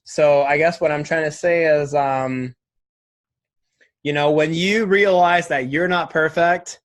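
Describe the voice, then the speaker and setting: Higher-pitched young man, earnest. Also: steady tone, a little slow. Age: 20s. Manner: earnest, frequent "like" and "right?". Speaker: interview guest. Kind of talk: casual reflective interview talk.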